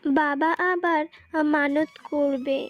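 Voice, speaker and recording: A woman's voice: high voice, fairly even intonation, slow pace. A woman voicing an anxious, trusting young child of a poor farmer in a cartoon, speaking plaintively. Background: music.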